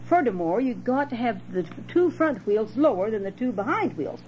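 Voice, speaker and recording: A woman's voice: on the high side, expressive, moderate pace, a little mellow, voice pushed up, close to an everyday voice. A woman voicing a cheerful, sly male trickster rabbit in a storybook, with folksy, persuasive dialect, on a home cassette recording. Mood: sly, confiding. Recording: dull, noisy home cassette with hum.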